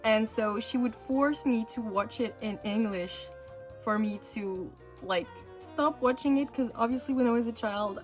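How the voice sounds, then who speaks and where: A young woman whose first language is French, chatting casually and cheerfully with lots of fillers as an art vlogger. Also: on the high side. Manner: bubbly, chatty, with many fillers and restarts. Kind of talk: casual chat over crafting.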